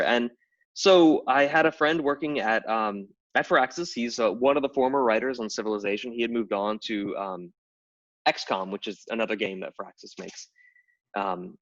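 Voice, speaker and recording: A man's voice: lowish voice, fairly bright tone. A middle-aged man, a game writer and anthropologist, explaining at length in a casual interview with many fillers and restarts. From Tidewater, Virginia. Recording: distant, dull lo-fi live stream audio.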